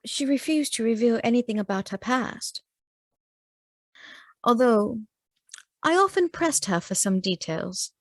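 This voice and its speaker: An actress playing a sheltered, eager young gentlewoman in a radio play, with an earnest, measured delivery. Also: fairly high pitch, animated, no echo. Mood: wistful, earnest. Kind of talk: dramatic radio play acting.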